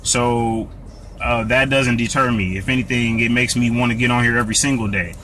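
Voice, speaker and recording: A man's voice: mid-range voice, steady tone, a little fast, pushed and bright, a little hiss or hum. An American man hosting a live Q&A, answering viewer comments in an earnest, preachy way, often restarting and repeating words. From United States. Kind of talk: live Q&A talk.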